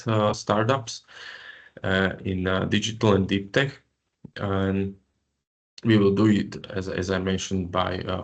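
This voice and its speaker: Man, from Slovakia, very low flat voice, slow, gravelly, hesitant video-call presenter. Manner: hesitant, many fillers and restarts. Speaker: project coordinator. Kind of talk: online info session presentation.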